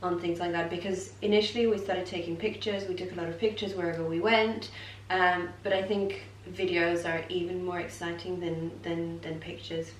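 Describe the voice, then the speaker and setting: A woman's voice: on the low side, little pitch movement, moderate pace. A young woman from Poland, talking fluently and openly in a casual vlog chat. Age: young adult. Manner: fluent, chatty, open. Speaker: vlogger. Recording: echoey room, mic set far off, faint noise.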